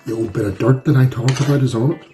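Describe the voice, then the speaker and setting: A man's voice: mid-range voice, quick pace, dark tone, soft background music. A man from Northern Ireland with a Northern Irish accent, hosting a casual home cooking demonstration in a chatty, folksy, self-deprecating way. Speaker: cooking channel host. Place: home kitchen.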